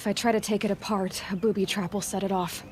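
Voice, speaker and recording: A woman playing a resolute, sharp-minded young hunter in a video game, speaking in a measured, matter-of-fact way. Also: mid-range voice, fairly even intonation, slight background noise, close to an everyday voice. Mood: focused, grave. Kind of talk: video game cutscene acting. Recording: music behind the voice.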